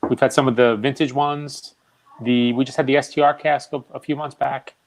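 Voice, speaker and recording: A man's voice: mid-range voice, steady tone, fairly quick, a loud crowd, poor audio. A man from the United States, chatting as a guest on a live whisky stream. He has a dry, teasing, laid-back way of talking. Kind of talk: casual live-stream chat.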